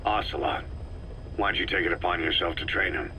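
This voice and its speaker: Very deep, laconic soldier boss. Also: male voice, a little fast, a little hiss or hum, rough recording. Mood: guarded, dry. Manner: laconic, deadpan. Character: terse, battle-worn male soldier boss, guarded. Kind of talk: video game voice acting, scripted dialogue.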